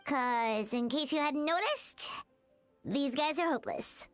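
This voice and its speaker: A woman voicing a tiny, childlike fairy companion in an animated video game, bubbly, bossy and excitable, with a squeaky voice. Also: a little slow, no room sound, faint music behind. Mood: grumbling. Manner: excitable, exclaiming, chatty.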